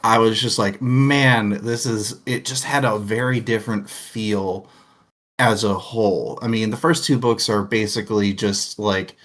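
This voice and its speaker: A young man with a low-pitched voice talks slowly and loosely on a book chat stream. He rambles through his opinions, laughing often and dropping the odd crude joke. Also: fairly even intonation, a bit bright. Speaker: book discussion co-host. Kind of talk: casual chat.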